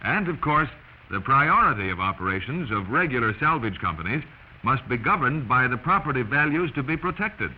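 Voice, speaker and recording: American guy narrating old 40s training film, lively intonation, bit of hiss. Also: mid-range voice, fairly quick. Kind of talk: 1940s scripted instructional narration. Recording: dull noisy 1940s film soundtrack.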